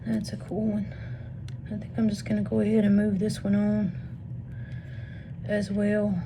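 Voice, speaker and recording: A woman with a Missouri accent talks in a flat, gravelly voice that keeps slipping down to a whisper as she loses it. She sounds like she is talking half to herself while she sorts stones at her table. There is a constant noisy hiss behind her. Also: mid-range voice, a little slow. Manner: muses half to herself, often drops to a whisper. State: losing her voice. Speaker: rock tumbling vlogger. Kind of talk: casual off-the-cuff commentary. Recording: noisy room ambience.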